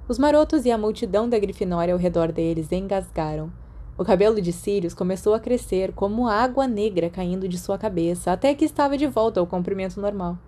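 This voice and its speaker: A woman's voice: mid-range voice, expressive, fairly quick, dark tone. A woman with a Brazilian accent reading a scripted story as an audiobook narrator. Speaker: podfic narrator.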